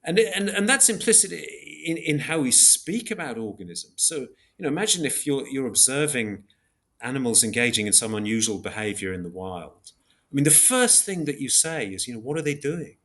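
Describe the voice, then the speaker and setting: A man giving an academic explanation in an interview, measured and hesitant, working step by step through examples. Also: medium pitch, lively intonation, a bit bright. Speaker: podcast guest, philosopher of biology.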